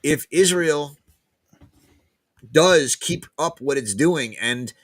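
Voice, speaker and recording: A man, a guest professor, talking casually in an interview, pausing and repeating words as he thinks aloud. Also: on the high side, expressive, a bit bright, no echo, clean studio sound. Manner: hesitant, many restarts and repeated words.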